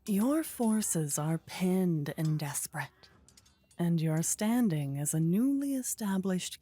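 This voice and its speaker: A woman's voice: on the low side, mellow, breathy edge, no echo. A woman narrating a scripted fantasy story in an ASMR style.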